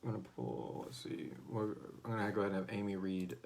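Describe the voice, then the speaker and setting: A man's voice: lowish voice, level tone, quick pace, creaky, a little mellow, slight background noise. A man hosting a fan Q&A livestream, chatting casually and rambling, with slangy, profane asides and hesitant phrasing. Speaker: host of a serial drama fan Q&A. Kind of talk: casual unscripted livestream chat.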